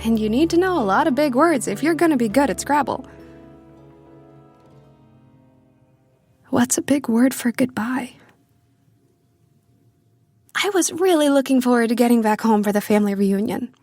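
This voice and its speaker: Young woman missing her family, wry and loving, highish voice, audio drama, close dry sound w soft music. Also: normal speed, breathy edge, dry recording. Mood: wistful regret. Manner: conversational, confiding. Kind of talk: first-person dramatic monologue in an audio drama.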